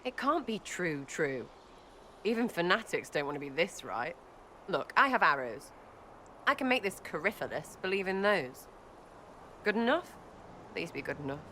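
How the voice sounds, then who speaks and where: An actress playing a scrappy, irreverent young archer in a video game, with an English accent and blunt, slangy, jumpy phrasing. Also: female voice, on the low side, big pitch swings, moderate pace, pauses often, sharp tone, a little hiss or hum. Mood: blunt, insistent. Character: scrappy, irreverent young woman archer, street-smart and impatient. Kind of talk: video game character acting.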